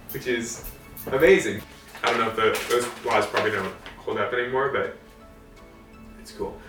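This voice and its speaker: A man giving an informal history talk, reading from old documents in a halting, amused way. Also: mid-range voice, a bit bright. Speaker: host of a co-op history series. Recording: echoey room, distant mic, faint music.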